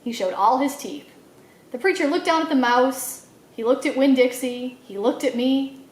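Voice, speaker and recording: A woman reading a children's novel aloud in an expressive storytelling style, acting out the dialogue as she goes. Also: sits high, normal speed, roomy sound. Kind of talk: animated read-aloud narration for children.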